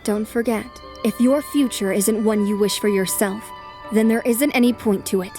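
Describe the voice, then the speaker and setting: A woman voicing a poised, self-assured young noblewoman in an anime fan dub, formal, calm and resolute. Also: medium pitch, moderate pace, soft background music, lightly acted. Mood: calm resolve.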